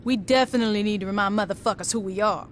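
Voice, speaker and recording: A woman's voice: mid-range voice, rapid-fire, a little hiss or hum, natural voice. A woman playing a tough, menacing, wry gang boss, terse and sardonic, in a video game cutscene. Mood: dry, determined.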